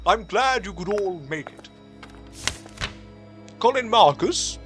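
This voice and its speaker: A man plays an imperious colonel in an audio drama, with a high voice that swings up and down as he lords it over the team. Faint music runs behind him. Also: lively intonation, slow pace. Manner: imperious, demanding respect. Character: arrogant male military colonel, overbearing superior. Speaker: commanding officer.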